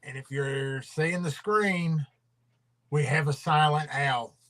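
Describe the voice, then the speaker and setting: A man's voice: a little high, slow, a bit bright. A man hosting a casual livestream, rambling and drawling, his voice trailing off into mumbles. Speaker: livestream host.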